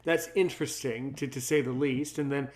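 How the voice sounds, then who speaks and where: A man's voice: mid-range voice, fairly even intonation, normal speed, darkish tone. A man hosting a casual interview podcast, emphatic and often repeating his words and phrases. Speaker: podcast host.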